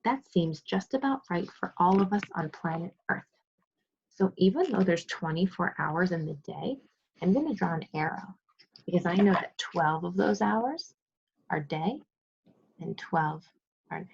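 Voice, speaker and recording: Lady teacher doing a read aloud for little kids, bit husky, explains and asks questions, dull muffled audio. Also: fairly low pitch, animated, measured pace, a little mellow. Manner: instructive, questioning, praising pupils. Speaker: second grade teacher. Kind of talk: reading aloud and teaching children on a remote class. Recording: dull lo-fi sound.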